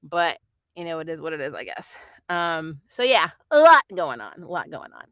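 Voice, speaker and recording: A woman's voice: low-pitched, sing-song, moderate pace, fairly bright tone, no echo. A middle-aged woman hosting a casual livestream, chatting in a rambling, opinionated way as she reviews shows and answers viewer comments. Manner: rambling, digressive, opinionated, often laughing. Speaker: host of a nerd culture review channel.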